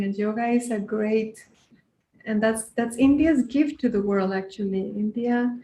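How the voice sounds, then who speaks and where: A woman's voice: mid-range voice, roomy sound, rough recording. A woman from Costa Rica, a yoga teacher, speaking earnestly and reflectively as an interview guest. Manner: soft-spoken, earnest, frequent restarts.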